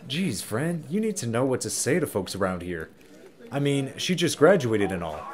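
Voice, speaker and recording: A man playing a chatty, easygoing small-town local with a folksy, expressive manner in an audio drama. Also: mid-range voice, moderate pace, lightly acted. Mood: flustered.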